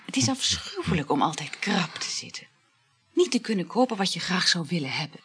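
Radio play mistress: clingy, sighing, whispery and husky, with an expressive rise and fall. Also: female voice, lowish voice, a little fast, exaggerated voice. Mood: plaintive. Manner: languid, sighing, dropping into breathy whispers. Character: needy, adoring mistress, insecure and short of money. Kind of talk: intimate radio play dialogue.

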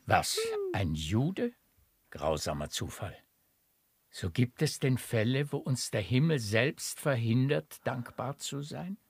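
A man's voice: mid-range voice, animated, slow, creaky, slightly rough, no room sound, exaggerated voice. An older actor playing a grateful, sentimental baron and father in a classic radio play, theatrical and heartfelt. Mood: shocked, dismayed. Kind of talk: German radio drama acting of a classic stage comedy.

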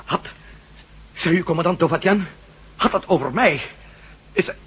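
A man's voice: sits high, lively intonation, normal speed, dull audio. A man acting an urgent, commanding adventurer leading a resistance team in a Dutch radio drama. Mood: anxious, relieved. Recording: very noisy radio drama recording.

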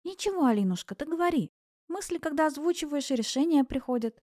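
Woman acting a old village granny, raised pitch, tender, folksy, knowing, gently chiding, audiobook character, dry sound. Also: expressive, quick pace, dry recording. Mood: soothing. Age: older.

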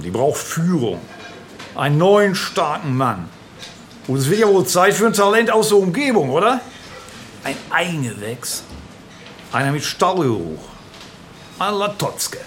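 An actor playing a jovial, domineering small-town power broker in a radio drama, blunt, folksy and patronising. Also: male voice, on the high side, big pitch swings, measured pace, pauses often, fairly bright tone, a little hiss or hum. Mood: scheming, smug. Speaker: local political fixer. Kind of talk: naturalistic radio drama acting.

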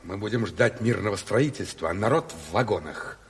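A man's voice: lowish voice, animated, moderate pace, a little hiss or hum. An actor playing a blunt, insistent male revolutionary organiser, plain-spoken and impatient in a radio drama. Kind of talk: Soviet radio play acting. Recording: long hall reverb on 1970s radio play.

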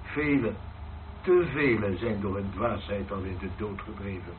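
A man's voice: on the low side, lively intonation, unhurried. Distant male voice, stern and admonishing, radio drama, narrow band, faint noise. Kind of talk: radio play acting.

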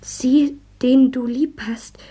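Casting: solemn woman reciting scripture for radio drama, high but mellow, whispery, hushed. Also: steady tone, takes it slowly, full character voice. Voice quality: breathy, whispery.

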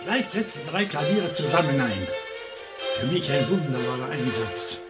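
An actor playing a courteous, bookish professor in a German radio crime play, speaking precisely and deliberately. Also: male voice, on the high side, expressive, loud music behind the voice, natural voice. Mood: polite, measured. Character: courteous, bookish professor, a night owl with a dog.

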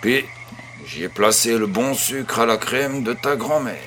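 A man's voice: medium pitch, a little slow, fairly bright tone, a little hiss or hum, natural voice. An actor playing an affectionate old farmer grandfather, patient and encouraging, in a French audio drama. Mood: storytelling.

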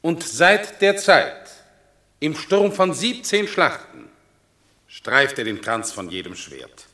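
A stately Roman commander with a bright, forceful voice. He declaims slowly and solemnly, pausing for weight, as in classic radio theatre. The room rings with echo. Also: fairly high pitch, sing-song, heavily acted. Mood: solemn praise. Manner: solemn, declamatory, emphatic pauses. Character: stately Roman general delivering a solemn battle eulogy. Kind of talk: classic German radio play, declamatory stage acting. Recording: echoey radio drama studio.

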